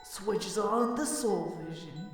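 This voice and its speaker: A woman's voice: medium pitch, takes it slowly, lightly acted. A woman voicing a laid-back, loyal friend in an amateur audio drama, speaking casually and earnestly. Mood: teasing, casual. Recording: distant, heavily echoing hall, music behind.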